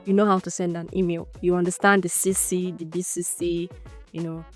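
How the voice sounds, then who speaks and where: A young woman with a Nigerian Igbo accent hosting a solo podcast, thinking out loud in an unscripted, chatty way and laughing often. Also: lowish voice, little pitch movement, brisk, soft background music. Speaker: podcast host.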